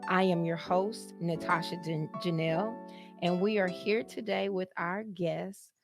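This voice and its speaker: Woman hosting a podcast, gravelly low voice, friendly and encouraging. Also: steady tone, unhurried, over music. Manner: friendly, affirming interviewer. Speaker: podcast host. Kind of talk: interview hosting.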